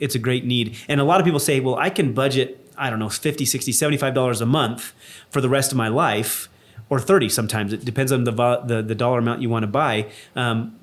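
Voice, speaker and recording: A man talks quickly and eagerly while co-hosting an insurance chat, moving the conversation along from topic to topic. His voice sits in a mid pitch and keeps a brisk pace. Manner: quick, enthusiastic, steers the topics. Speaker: insurance broker co-host.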